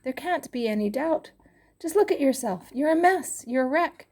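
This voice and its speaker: A woman's voice: high-pitched, animated, moderate pace, a little mellow, voice pushed up. A woman voicing a blunt male friend who accuses the sufferer of sin. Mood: accusing.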